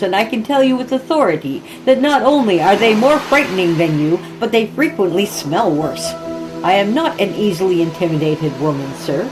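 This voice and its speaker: A woman's voice: mid-range voice, lightly acted, poor audio. Woman playing a stern, defiant old schoolteacher. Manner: stern, snappish, indignant. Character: defiant, prim retired schoolteacher, elderly woman. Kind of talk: horror audiobook character.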